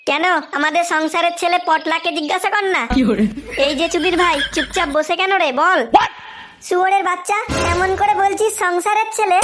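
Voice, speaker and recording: Man voicing a very high, brash cartoon young guy. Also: steady tone, normal speed, sharp tone, soft background music. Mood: casual. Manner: brash, scolding, crude. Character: foul-mouthed, mocking young man among idle friends. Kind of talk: comic cartoon dialogue.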